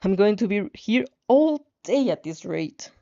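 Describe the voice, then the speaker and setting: A woman's voice: mid-range voice, expressive, a little slow, mellow, dull audio. A woman voicing an exasperated, sarcastic teenage story protagonist with dramatic whining.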